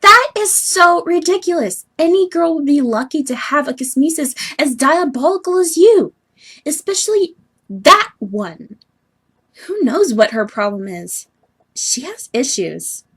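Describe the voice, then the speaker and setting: A woman voicing an earnest, bubbly young female troll heiress from the sea, with expressive scolding, in an amateur audition style. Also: sits high, unhurried, exaggerated voice. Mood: gentle, consoling. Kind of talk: amateur animated-webcomic voice audition acting.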